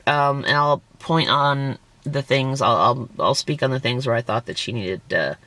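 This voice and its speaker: A woman vlogger, hoarse and losing her voice, bluntly and indignantly defending herself while reacting to a critic's video. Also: very deep voice, moderate pace, fairly bright tone, dry recording. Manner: indignant, blunt, swears when angry.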